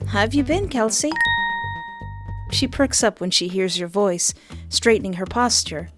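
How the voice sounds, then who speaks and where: A woman plays through a game, voicing the narration with playful expression and chatty asides. Her pitch is average for a woman, and quiet music runs behind her. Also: expressive, a little slow. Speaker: game playthrough host. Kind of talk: reading game narration aloud with casual reactions.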